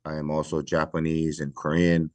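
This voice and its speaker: A middle-aged man from Hawaii, a retired fire battalion chief, speaking earnestly and reflectively with many pauses in a podcast interview. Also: rumbling low, monotone, dry recording.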